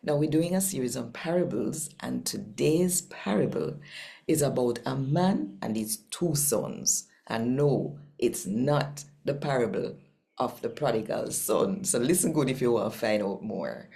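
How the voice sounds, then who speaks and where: Very deep, unhurried Jamaican woman. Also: animated, gravelly, mellow. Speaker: channel host and scripture reader. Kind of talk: devotional reading and channel welcome.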